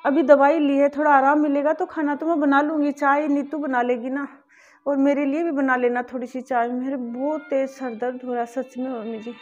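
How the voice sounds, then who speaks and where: A woman's voice: high-pitched, little pitch movement, normal speed, lightly acted. A woman as an aggrieved elder daughter-in-law. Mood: pained. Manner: pleading, aggrieved. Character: weary elder daughter-in-law, overworked housewife. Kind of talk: family skit acting. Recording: dull lo-fi recording.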